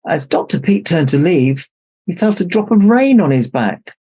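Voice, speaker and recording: A man narrating a children's story aloud as an expressive storybook reader. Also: on the high side, normal speed, husky. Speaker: storybook reader in Santa persona.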